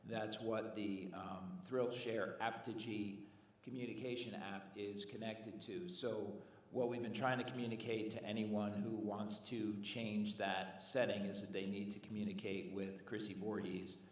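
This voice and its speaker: Low-voiced administrator in an echoey hall. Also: male voice, little pitch movement. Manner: explanatory, measured, filler uhs. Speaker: school district administrator. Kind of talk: answering at a board meeting. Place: large meeting room. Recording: distant mic in echoey hall.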